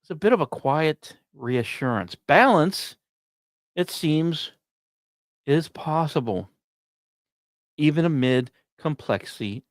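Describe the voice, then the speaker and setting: A man hosting a science podcast, reading scripted narration with casual asides and musing, dramatic pauses between short phrases. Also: mid-range voice, dry recording, studio quality. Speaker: podcast host.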